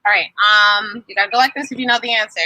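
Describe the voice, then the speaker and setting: A woman's voice: medium pitch, steady tone, fast talker, bright, forceful tone, dry recording, poor audio. A woman storyteller reading aloud to children and quizzing them, in an encouraging, teacherly way with frequent questions to her listeners. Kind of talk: animated children's story time read-aloud.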